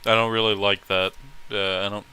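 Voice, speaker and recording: Blunt, profane man with a bright, crisp voice. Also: lowish voice, little pitch movement, moderate pace, poor audio. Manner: profane, blunt, opinionated ranting. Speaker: host. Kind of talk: casual rambling livestream chat with friends.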